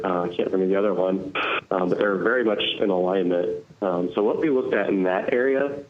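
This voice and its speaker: A man consultant calling in to a meeting, explaining audit work steadily with many ums. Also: fairly low pitch. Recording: tinny phone line.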